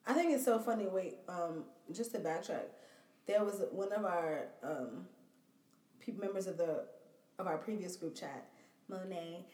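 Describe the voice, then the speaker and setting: A woman with a somewhat low voice co-hosts a casual chat, trading quick agreements and laughing a lot. The sound is roomy and echoey. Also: darkish tone. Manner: laughs often, quick back-and-forth agreement. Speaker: podcast co-host. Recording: echoey room.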